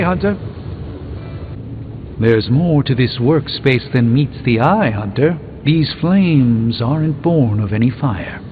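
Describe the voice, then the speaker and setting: An actor playing a pompous, refined middle-aged male sorcerer in a video game, speaking formally with dry wit. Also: fairly high pitch. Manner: pompous, formal, dryly wry, theatrical. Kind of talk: scripted video game dialogue. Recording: thin phone-like band, music behind.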